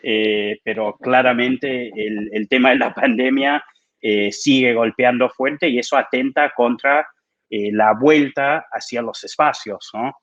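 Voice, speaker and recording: A man's voice: medium pitch, fairly even intonation, unhurried, fairly bright tone. American man on a dull lo-fi line. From United States. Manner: thoughtful, slips into English. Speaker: panelist. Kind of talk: panel discussion. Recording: dull lo-fi sound.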